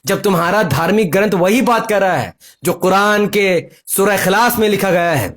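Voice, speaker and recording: A man's voice: high voice, moderate pace, a bit bright. A man giving an impassioned political monologue to viewers, emphatic and confrontational, leaning on repetition and rhetorical questions. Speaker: political commentator and video host.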